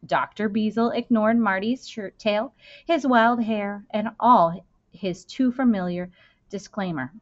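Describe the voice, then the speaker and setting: A woman reads a children's novel aloud with expressive, deliberate phrasing. Her pitch sits in the middle for a woman. The recording is slightly dull and lo-fi, like a simple indoor setup. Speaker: school librarian reading aloud. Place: indoor room. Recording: dull, lo-fi webcam-style sound.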